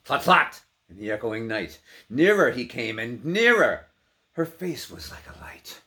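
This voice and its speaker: A man giving a theatrical, intense dramatic recitation of poetry, swinging between hushed delivery and full-throated cries. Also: mid-range voice. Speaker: poetry performer.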